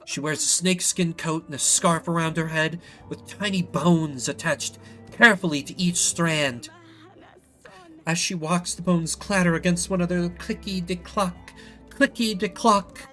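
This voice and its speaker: A man playing a frightened villager in a story game, dramatic and halting as he warns of a witch. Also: high-pitched, steady tone, fairly bright tone, faint music behind. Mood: ominous storytelling. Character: frightened villager, urgently warning of an escaped witch. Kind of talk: acted narrative game dialogue.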